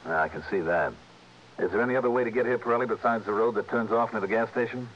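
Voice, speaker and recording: A dull, faintly noisy vintage radio recording of a man playing a hard-boiled investigator. He fires off clipped, commanding lines quickly in a lowish voice, tough and world-weary. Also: lightly acted. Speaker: lead radio detective. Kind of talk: 1960s radio drama acting.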